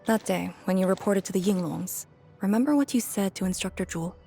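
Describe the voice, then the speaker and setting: A woman's voice: mid-range voice, brisk, gravelly, mellow, faint music behind, lightly acted. A woman voicing a composed, blunt, brooding woman warrior in a video game, with a dry, understated delivery. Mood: reflective.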